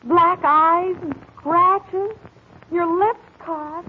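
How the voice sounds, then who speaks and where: A fussy young American secretary who frets and scolds in a high, breathless voice. The 1940s radio recording is dull, with faint noise behind it. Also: female voice, steady tone, drawn-out words, full character voice. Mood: fretful, scolding. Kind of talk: 1940s radio comedy-drama acting.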